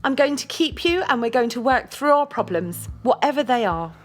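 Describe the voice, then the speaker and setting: A woman's voice: sits high, expressive, moderate pace, faint music behind. An actress playing a domineering, short-tempered girlfriend in an audio play, blunt, scolding and foul-mouthed. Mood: firm.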